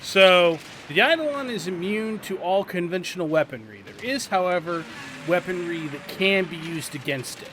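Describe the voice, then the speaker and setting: A man's voice: high-pitched, expressive, moderate pace, pushed and bright, slight background noise. A man hosting a game playthrough, explaining mechanics and giving tips to viewers. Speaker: gaming channel host. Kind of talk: let's play game commentary.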